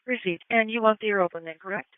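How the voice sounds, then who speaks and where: A woman's voice: mid-range voice, fast, phone-quality sound, a little hiss or hum. A woman working as a police dispatcher, speaking in clipped, procedural radio calls over a scanner feed. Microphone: two-way radio dispatch mic. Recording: thin band-limited police scanner radio.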